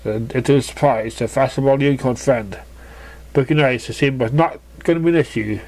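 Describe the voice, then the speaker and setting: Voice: mid-range voice, fairly quick, a little mellow. A narrator reading a scripted fan fiction story aloud.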